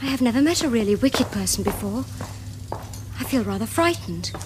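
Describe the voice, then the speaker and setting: An actress as a young upper-class English girl in a period comedy. She is sweetly artful and earnest, with a fairly high voice that rises and falls freely and crisp enunciation. The recording carries some background noise. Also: animated, normal speed, close to an everyday voice. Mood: nervous excitement. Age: young adult. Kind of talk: period comedy screen acting.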